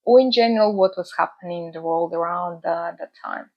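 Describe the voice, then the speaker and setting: Earnest woman, slightly dull sound. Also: lowish voice. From Russia. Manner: earnest and measured, with frequent "uh" pauses. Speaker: book channel host. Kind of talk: reflective talk to camera. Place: home in the UK.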